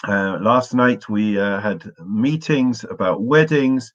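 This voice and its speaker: Hoarse man giving a community talk. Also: on the low side, slow pace. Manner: warm, chatty, addresses listeners by name. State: hoarse, losing his voice. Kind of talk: morning learning talk to a congregation. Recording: muffled lo-fi audio.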